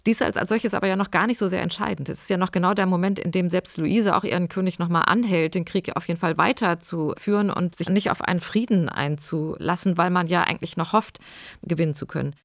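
A woman's voice: fairly low pitch, fast, dry recording, studio quality. A woman speaking as a history expert, explaining in spontaneous, thoughtful interview phrasing.

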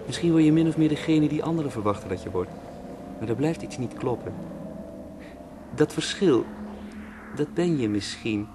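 A man's voice: fairly high pitch, lively intonation, normal speed, pauses often, background noise, natural voice. An actor playing a drowning man in a radio play, wry and musing as he rambles about death. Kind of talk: Dutch radio play acting.

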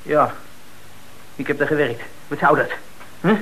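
An actor playing a defensive, blustering small-time crook with a streetwise, indignant manner in a radio play. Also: male voice, a little high, unhurried, a bit bright, low-quality recording. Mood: surly defiance.

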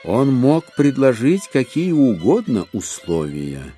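A male narrator tells a literary story with a wry, expressive delivery. His medium-pitched voice moves in lively swings, and soft music with violin plays behind him. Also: slow pace. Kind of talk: scripted literary narration in an audio play.

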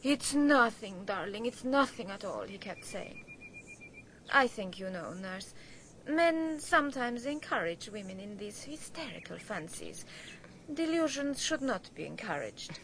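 High-voiced, gossipy wife. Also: female voice, very animated, measured pace, a bit bright, a little hiss or hum, heavily acted. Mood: spiteful gossip. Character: gossipy, spiteful archaeologist's wife, insinuating and theatrical. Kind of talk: radio drama acting.

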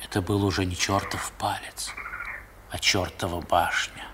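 A man's voice: sits low, level tone, unhurried, in a whisper. A man narrating a 1960s radio play as a grown storyteller recalling boyhood, in a dramatic literary manner, on an old recording. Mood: awed, brooding.

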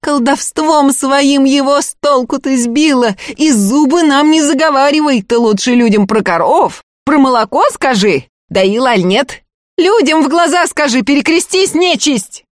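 A woman voicing a furious young peasant woman who hoarsely accuses a rival of witchcraft. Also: high-pitched, lively intonation, unhurried, no room sound, clean studio sound, pitched above the performer's own voice. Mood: furious accusing.

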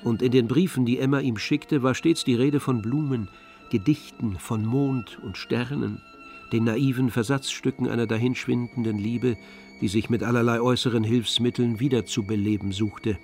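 Male narrator, mid-pitched, some gravel and fry, scripted literary radio storytelling, faint music behind. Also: fairly even intonation, normal speed, a little mellow. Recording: studio radio drama with music underneath.

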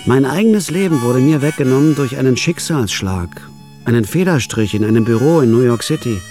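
A man's voice: medium pitch, animated, brisk, dark tone, soft background music, lightly acted. A man narrating as a dry, bitter hitman. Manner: dry, wry inner monologue. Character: laid-off factory worker turned hired killer. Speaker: narrator and lead. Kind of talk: radio drama narration.

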